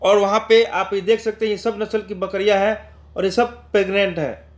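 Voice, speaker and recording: High-pitched man. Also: fairly even intonation, a little fast. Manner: repetitive explaining, addressing viewers as friends. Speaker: video channel host. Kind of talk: informal promotional voice-over.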